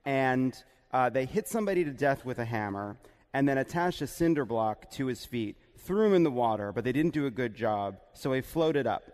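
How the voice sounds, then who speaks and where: A man's voice: medium pitch, animated, moderate pace, darkish tone. A man telling stories about politics in a casual, comic way, often laughing as he talks. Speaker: podcast host. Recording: echoey live hall.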